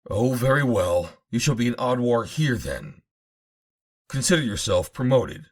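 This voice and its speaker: A man reading an adventure novel aloud as an audiobook narrator, in a measured, formal storytelling manner. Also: mid-range voice, very animated. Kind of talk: dramatic scripted narration of an old adventure novel.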